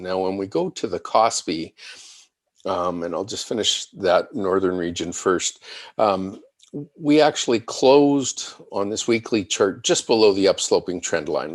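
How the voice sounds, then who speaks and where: Low-pitched man explaining market charts. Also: no room sound, studio quality. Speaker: financial market analyst presenting. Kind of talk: unscripted chart commentary on a conference call.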